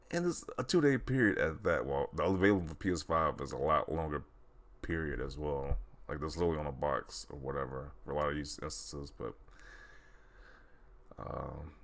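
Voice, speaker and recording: Very deep-voiced man, mocking casual commentary. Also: a bit bright. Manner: mocking, incredulous, often chuckling. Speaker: video host commentator. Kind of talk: casual commentary, reacting to social media posts.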